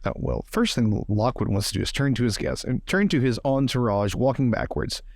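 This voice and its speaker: A man with a creaky voice chatting casually as a player in a tabletop role-playing game. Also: medium pitch, sing-song, fairly quick, no room sound, clean studio sound.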